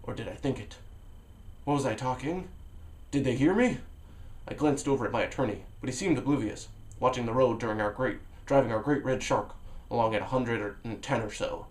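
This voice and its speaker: A man reading a novel aloud on a vlog, expressive, with sudden leaps in pitch and loudness and a low, hushed voice for inner thoughts. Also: brisk. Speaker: vlogger reading a book aloud. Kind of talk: dramatic read-aloud of a novel.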